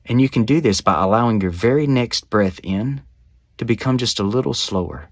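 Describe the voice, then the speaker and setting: A man narrating a scripted guided meditation as a guide, with measured, soothing, instructional phrasing. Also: medium pitch, animated, no room sound, clean studio sound.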